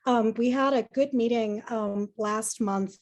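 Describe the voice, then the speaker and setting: A woman's voice: fairly high pitch, steady tone, measured pace, darkish tone. A woman giving an informal committee update at a neighborhood meeting, chatty and rambling with many restarts. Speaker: committee member.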